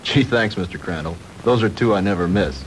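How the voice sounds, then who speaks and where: A man's voice: on the low side, brisk, natural voice. An actor playing a troubled, apologetic young man in a 1960s B-movie, subdued and hesitant. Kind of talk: 1960s B-movie film acting. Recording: noisy old 1960s film soundtrack.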